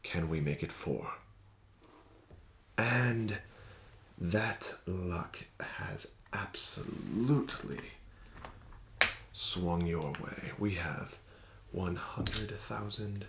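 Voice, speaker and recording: Male ASMR roleplay dealer: low, slow, soft and coaxing, lively rise and fall. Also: very noisy. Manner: soft-spoken, often whispering; smooth, flattering, gently coaxing. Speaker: ASMR roleplay host playing a casino dealer.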